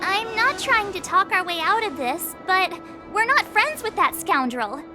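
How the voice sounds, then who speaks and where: A woman's voice: extremely high pitch, very animated, unhurried, exaggerated voice. A woman voicing a perky, indignant teenage girl adventurer in a video game, chatty and exclamatory. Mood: indignant, pleading. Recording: game music behind the voice. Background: orchestral violin music.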